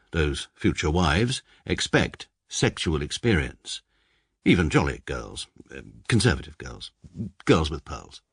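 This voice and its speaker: Comic radio play: a deadpan barrister narrator, very deep, slightly husky. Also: male voice, dry recording, full character voice. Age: young adult. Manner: deadpan, precise, self-mocking. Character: dry, pedantic male barrister narrating his life.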